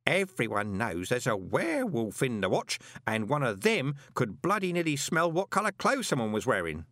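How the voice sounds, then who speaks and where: A man's voice: sits high, wildly swooping pitch, moderate pace, fairly bright tone, no echo, studio quality, voice pushed up, exaggerated voice. A man voicing a chatty, deferential elderly postal worker with a rambling, conspiratorial way of talking. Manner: gossipy, rambling, ingratiating.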